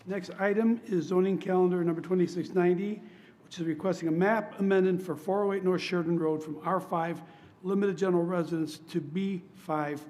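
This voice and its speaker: A man chairing a public commission meeting, hoarse from laryngitis, running votes in a steady, polite, procedural way. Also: sits high, fairly quick. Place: council chamber.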